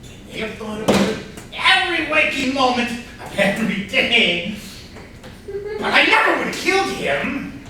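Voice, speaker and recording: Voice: sits high, sing-song, normal speed, bright, forceful tone, full character voice. An actor playing a bitter, flamboyant jilted man in a comedy stage reading, theatrical and stammering with gleeful spite. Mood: defensive. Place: room at a live staged reading. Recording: distant, echoey room with faint noise.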